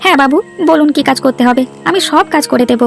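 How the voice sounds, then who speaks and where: A woman's voice: high voice, quick pace, vocal fry, soft background music. A woman voicing a poor, submissive, hesitant villager in a cartoon folk tale. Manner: meek, complaining, deferential. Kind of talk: Bengali cartoon fairy-tale dialogue.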